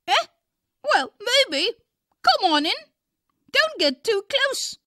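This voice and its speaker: A grumpy little old wizard whines through his lines in a storybook reading, voiced by a woman. The voice sits very high and bright, stretched out at a slow pace with plenty of rise and fall. He sounds irritable and put out by everyone. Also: very animated, no echo, studio quality, full character voice. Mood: cranky. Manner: whiny, irritable. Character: tiny cranky old male wizard.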